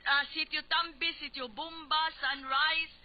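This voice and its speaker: A woman's voice: high-pitched, steady tone, a little slow. A woman with a Cebuano accent co-hosting a casual radio show, chatting, greeting listeners and offering advice. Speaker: radio co-host. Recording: dull lo-fi radio recording, faint noise.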